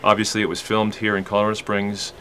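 A man's voice: fairly low pitch, completely flat delivery, quick pace, pushed and bright. A man speaking as an executive producer, talking casually about his work in an office interview. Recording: office with faint background noise.

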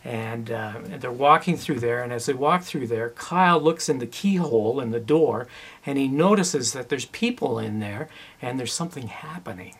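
A man's voice: medium pitch, lively intonation, normal speed, breathy edge. A man talking casually as he explains his work as a sound designer.

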